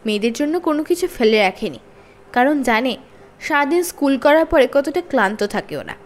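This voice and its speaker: A woman narrates a story for children. Her voice sits high and moves with lively swings in pitch. The reading is expressive, in the manner of a storyteller. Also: a little fast.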